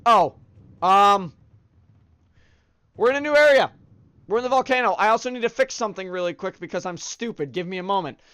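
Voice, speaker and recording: A man's voice: sits high, expressive, brisk, pauses often, clear, resonant tone, no room sound. A young man hosting a game video, chatting casually and upbeat with fillers, sounding stuffed up from allergies. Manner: upbeat, chatty, shout-outs with many fillers. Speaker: gaming channel host. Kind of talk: casual let's-play commentary.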